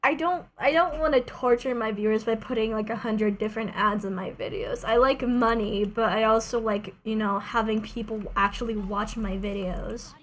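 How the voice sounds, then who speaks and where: Higher-voiced woman streaming, playful and casual. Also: fairly even intonation, normal speed, few pauses, a little hiss or hum. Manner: playful, self-deprecating, talks to herself and viewers. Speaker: streamer, video game player. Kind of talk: casual gaming livestream chat.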